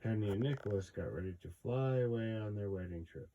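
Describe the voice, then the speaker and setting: A man's voice: fairly low pitch, brisk, dark tone, lo-fi sound, slight background noise. A man reading a storybook aloud casually, wandering off into wry asides of his own. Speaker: host reading a children's book aloud with commentary.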